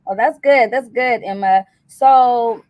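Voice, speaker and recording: A woman's voice: on the high side, slow, dry recording, lo-fi sound. A woman hosting an interview, encouraging her guest with hesitant fillers. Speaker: host.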